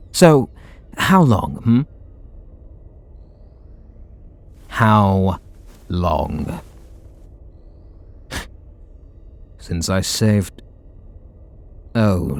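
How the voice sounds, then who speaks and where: Man acting a low-voiced, brooding super villain. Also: very animated, heavily acted. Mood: rattled, exasperated. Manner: sardonic, brooding, dramatic pauses. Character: brooding male super villain confessing hidden devotion. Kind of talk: scripted ASMR roleplay audio drama.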